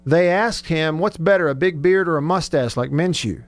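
Higher-voiced male sports radio host. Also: moderate pace, no room sound. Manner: chatty, joking. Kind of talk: casual sports talk radio, reading listener texts. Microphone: close studio radio microphone.

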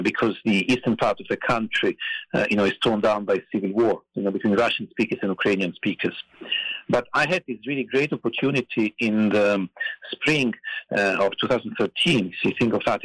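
Middle-aged Italian-accented man, dry studio sound, halting storyteller. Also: lowish voice, a bit bright, no room sound, rough recording. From Italy. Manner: hesitant, many "uh" and "you know" fillers. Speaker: guest, theology professor. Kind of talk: podcast interview storytelling.

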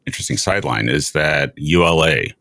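Very deep, gravelly male interview host. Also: a little fast, bright, forceful tone, no echo. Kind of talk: conversational interview.

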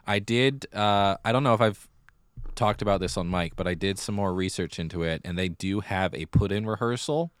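A man with a very low voice, recorded dry with hardly any room sound. He talks casually as a podcast co-host, leaning on his points with emphasis. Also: moderate pace, smooth, no echo. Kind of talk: casual chat about musical theatre.

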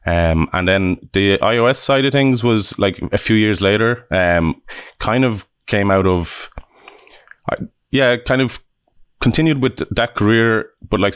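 A man's voice: low-pitched, clear, resonant tone, dry recording, clean studio sound. An Irish man in his thirties, a developer guest chatting casually in an interview, rambling with restarts and self-deprecating asides. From Ireland.

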